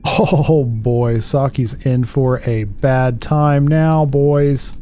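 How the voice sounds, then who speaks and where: A man in his thirties narrates his gameplay casually, thinking aloud at an unhurried pace. He speaks in a medium-pitched voice close to the mic and often questions himself. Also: steady tone, mellow, soft background music. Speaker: gaming YouTuber. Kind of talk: casual let's play commentary. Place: indoor home setup.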